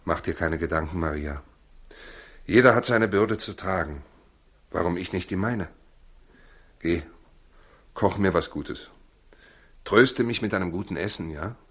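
An actor in a 1960s German radio play as a proud working husband torn by doubt, husky, weary and defensive. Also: male voice, low voice, measured pace, pauses often, a bit bright. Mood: weary, bitter.

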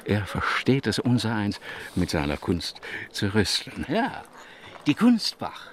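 An actor playing an effusive, self-absorbed baroque monarch in a German radio drama, pompous and condescending in florid phrases. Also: male voice, on the low side, wildly swooping pitch, a little slow. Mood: rapturous, pompous. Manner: pompous, gushing, florid, talks over others.

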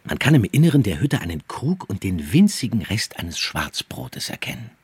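A man narrating a radio drama in a measured, poetic, descriptive way, as a grave scene-setter. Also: lowish voice, very animated, breathy edge, dry recording, heavily acted. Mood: solemn, descriptive. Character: grave, unhurried scene-setting male narrator.

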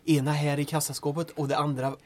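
A man playing a dry, sardonic resistance member in an audio drama, with a husky voice and laconic delivery. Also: fairly high pitch, flat delivery, lightly acted. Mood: matter-of-fact.

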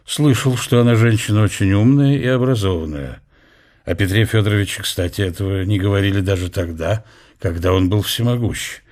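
A man's voice: fairly low pitch, brisk, a little mellow. A man reading reflective scripted narration of a character's thoughts in a historical radio drama.

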